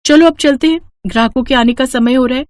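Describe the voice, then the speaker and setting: Woman as high-voiced, cheerful young daughter. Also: quick pace, dry recording, close to an everyday voice. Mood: encouraging. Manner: bright, persuasive, high-voiced. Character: cheerful, confident young daughter selling food.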